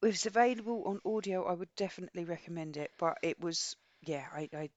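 A woman's voice: fairly low pitch, fast talker, no room sound, muffled recording. A woman co-hosting a podcast, chatting casually about books in a rambling, digressive way. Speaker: podcast co-host. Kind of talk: casual book chat.

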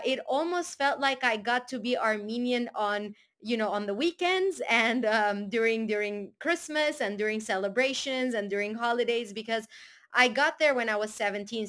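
Young woman guest from Syria, higher voice, reflective and rambling, for an interview. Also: a bit bright. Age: young adult. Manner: thoughtful, rambling with restarts. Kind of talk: casual interview answers.

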